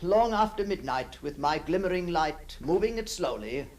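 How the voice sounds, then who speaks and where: A man's voice: on the high side, expressive, moderate pace, close to an everyday voice. An actor playing an obsessed, brooding man who stalks apparitions, telling his ghost story in a theatrical, intense monologue. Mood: fervent, exultant. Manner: theatrical, hushed passages swelling into fervent declamation. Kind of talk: dramatic first-person ghost story monologue.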